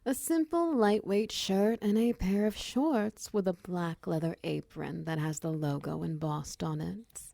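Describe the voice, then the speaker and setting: A woman's voice: lowish voice, measured pace, few pauses, no echo, clean studio sound. A woman playing a confident, flirtatious female minotaur wizard who runs a potion shop, speaking to the listener in intimate, teasing roleplay ASMR. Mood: businesslike, friendly. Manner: teasing, coy, softly breathy in places.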